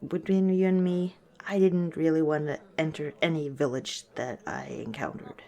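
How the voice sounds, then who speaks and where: A woman voicing a gentle, wistful female orc tavern owner in a soft-spoken, hesitant ASMR roleplay. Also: low-pitched, fairly even intonation, moderate pace, dull audio. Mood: confiding.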